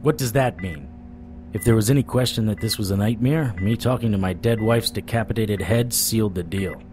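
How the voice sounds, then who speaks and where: An actor playing a world-weary supernatural private eye, narrating an audio drama in a wry, deadpan way. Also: male voice, fairly low pitch, fairly quick, a little hiss or hum, close to an everyday voice. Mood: puzzled, wry. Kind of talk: noir first-person audio drama narration.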